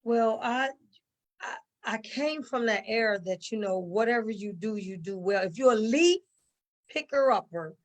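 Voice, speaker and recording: A woman's voice: a little high, expressive, unhurried. A middle-aged woman answering conversationally, telling stories emphatically with repetition and quoted asides. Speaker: stage play cast member.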